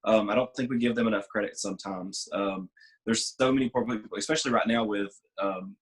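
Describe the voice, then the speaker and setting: A man's voice: lowish voice, steady tone, a little fast, low-quality recording. Easygoing man. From Alabama. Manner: easygoing, chimes in, rambling. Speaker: drag queen guest. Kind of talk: casual interview chat.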